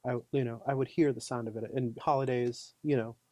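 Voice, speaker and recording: Man, mid-pitched voice, podcast guest, easygoing and chatty, dry close sound. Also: fairly even intonation, brisk, a little mellow, no room sound. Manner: easygoing, chatty, lots of fillers like "you know". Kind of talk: casual conversation.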